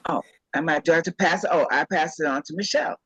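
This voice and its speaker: A woman's voice: sits low, quick pace, a bit bright, slightly rough, dry recording. Easygoing woman in her sixties, dry dull sound. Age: 60s. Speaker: guest. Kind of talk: casual group chat.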